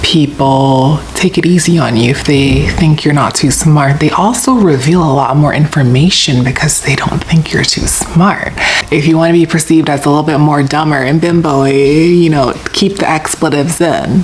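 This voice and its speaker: Low-voiced blunt young woman. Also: normal speed, slight background noise. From New York. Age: 20s. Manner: blunt, slangy, lowered deep voice. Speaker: self-help talker. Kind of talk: casual advice monologue.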